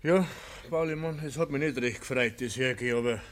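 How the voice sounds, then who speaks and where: An actor playing a reluctant, apologetic village neighbour with a Bavarian accent in a radio drama, hesitant and conciliatory. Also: male voice, medium pitch, a little fast, slight background noise, close to an everyday voice. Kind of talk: German radio drama acting in dialect.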